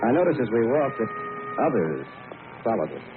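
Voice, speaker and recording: Actor as earnest expedition leader, noisy old radio. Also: male voice, medium pitch, big pitch swings, normal speed, phone-quality sound, natural voice. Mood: grave narration. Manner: dramatic, emphatic delivery. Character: decisive male expedition leader and narrator, earnest and knowing. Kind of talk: old-time radio adventure drama acting.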